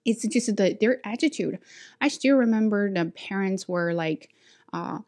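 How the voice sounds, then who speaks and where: A woman's voice: medium pitch, a little slow, darkish tone. A young woman from China with a Chinese accent, an English teacher casually recounting her experiences with many restarts and fillers. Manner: frequent restarts and filler words, talking through experiences. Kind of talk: casual personal storytelling.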